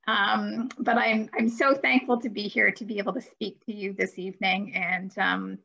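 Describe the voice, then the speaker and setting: A woman's voice: mid-range voice, gravelly, fairly bright tone, slightly rough. A woman giving a friendly, upbeat webinar presentation over a video call, with light hesitations. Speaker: cruise line presenter. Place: home office. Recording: muffled video call audio.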